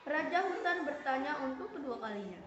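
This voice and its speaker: A woman narrating a fable for a school puppet show, in a steady storybook reading. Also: high voice, a little fast, dull audio. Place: school room. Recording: echoey and noisy room.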